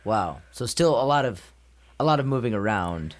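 A man with an American accent, hosting a casual interview and asking his questions in a halting, hesitant way. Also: mid-range voice, sing-song. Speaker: interviewer, host.

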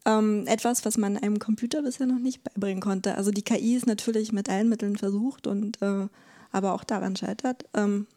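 Mellow-voiced woman explaining hesitantly. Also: medium pitch, steady tone, fairly quick. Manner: hesitant, searching for words, explanatory. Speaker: interview guest, legal expert. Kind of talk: conversational explanation in a podcast interview.

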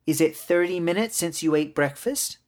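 A man's voice: fairly high pitch, normal speed, a little mellow, pitched above the performer's own voice, natural voice. A man voicing a small, earnest little girl in a storybook, innocent and worried, with a childlike, pleading delivery. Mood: bossy, playful.

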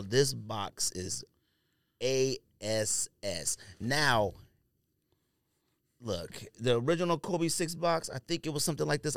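A man's voice: medium pitch, dry recording, studio quality. A man co-hosting a sneaker podcast in casual, unscripted banter, talking in slang and giving blunt opinions. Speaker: podcast co-host reviewing sneakers.

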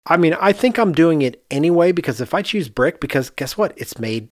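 A man's voice: on the high side, animated, brisk, no echo. Emphatic man talking shop, close dry sound. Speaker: architect and podcast host. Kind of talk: casual conversational discussion.

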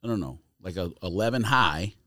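A man's voice: low-pitched, lively intonation, a little fast, bright, forceful tone, no echo, clean studio sound. A man in casual podcast chat, speaking bluntly and skeptically. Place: podcast studio.

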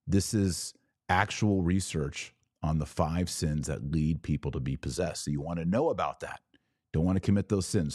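A man's voice: deep voice, a little mellow, no echo, studio quality. An American man hosting a religious podcast, talking informally to online viewers in an emphatic way with repeated phrases and rhetorical questions.

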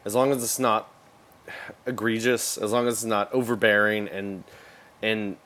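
A man's voice: fairly low pitch, little pitch movement, normal speed, fairly bright tone. A man chatting casually as a co-host of a video game podcast. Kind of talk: casual chat.

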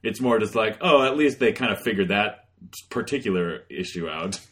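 A man co-hosting a podcast, thinking out loud in a wry, rambling way. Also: on the low side, expressive, normal speed. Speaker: podcast co-host. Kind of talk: casual chat.

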